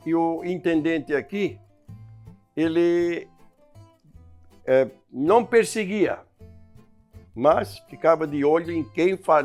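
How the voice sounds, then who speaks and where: A man, explaining local history in a measured way. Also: a little high. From southern Brazil. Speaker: interviewee.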